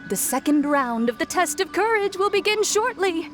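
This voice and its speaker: A woman's voice: very high-pitched, animated, moderate pace. A woman voicing a sly young shrine maiden in a video game, teasing and theatrically ominous. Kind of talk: English video game voice acting. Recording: faint game music behind the voice.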